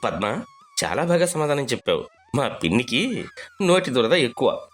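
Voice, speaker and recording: Man acting young son, caring, worried, earnest, animated pitch, village moral story, music behind. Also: normal speed, lightly acted. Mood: supportive. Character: caring, anxious young husband and dutiful son.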